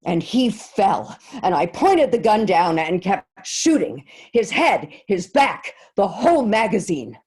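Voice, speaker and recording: A woman performing a dramatic monologue as a shaken schoolteacher, husky and halting, on a video call. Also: medium pitch, sing-song, darkish tone, heavily acted. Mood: grim, resolute. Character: shaken woman teacher recounting shielding her kids from a gunman. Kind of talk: dramatic monologue, staged reading. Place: remote reading over video call.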